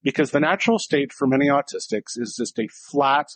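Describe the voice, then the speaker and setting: A man's voice: medium pitch, expressive, fairly quick, slight background noise. A man co-hosting a casual podcast, talking in a direct, blunt way and acting out short exchanges. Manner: direct and blunt, acting out little dialogues in different voices. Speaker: podcast co-host.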